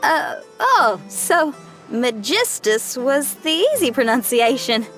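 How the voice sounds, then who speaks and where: A woman's voice: high-pitched, very animated, slow pace, fairly bright tone, exaggerated voice, poor audio. An actress voicing a friendly young woman companion who makes light, amused remarks. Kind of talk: game voice acting. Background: music.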